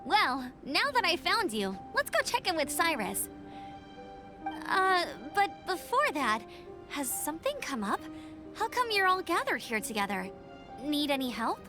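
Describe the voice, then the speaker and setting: A woman voicing a cheerful, energetic young female scout in a video game, talking in an upbeat, eager way over game music. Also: high voice, a little slow. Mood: friendly.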